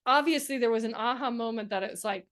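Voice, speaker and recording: A woman's voice: fairly high pitch, fast talker, fairly bright tone. A woman hosting a podcast interview, enthusiastic and emphatic, laughing often. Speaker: podcast host. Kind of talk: casual interview chat.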